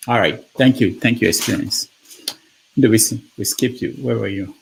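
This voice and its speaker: A man hosting an online discussion, calling on speakers and keeping order in a brisk, polite way. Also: medium pitch, creaky, slight background noise, poor audio. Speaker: host. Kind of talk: moderating an online group discussion.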